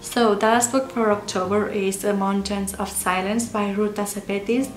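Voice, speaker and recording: A woman's voice: mid-range voice, fairly even intonation, moderate pace, a little hiss or hum. A young woman from Spain with a Spanish accent, chatting casually about books on camera in a small room at home. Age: young adult. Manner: chatty, gestures a lot with her hands. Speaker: book vlogger. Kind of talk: casual chat. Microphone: camera or laptop mic facing her. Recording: roomy echo with music underneath.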